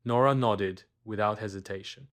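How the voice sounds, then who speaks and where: A man's voice: fairly low pitch, normal speed, fairly bright tone. A man reading a scripted children's bedtime story as the storyteller.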